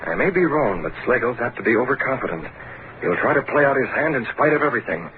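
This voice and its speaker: An actor playing a decisive masked vigilante giving clipped orders in 1930s radio style. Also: male voice, medium pitch, sing-song, quick pace, lightly acted. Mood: decisive, hushed urgency. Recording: tinny, very noisy old radio recording.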